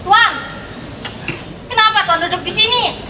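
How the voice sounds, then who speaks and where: A woman's voice: very high voice, unhurried. A young woman playing a flustered, indignant married lady in a stage drama, exclaiming theatrically. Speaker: student stage actor. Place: outdoor car park stage. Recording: distant echoey stage recording with loud background noise.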